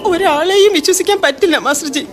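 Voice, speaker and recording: A woman's voice: very high-pitched, fast, a little hiss or hum. A woman playing a young wife in a radio drama, tearful and begging not to be taken away. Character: young wife, frightened and clinging.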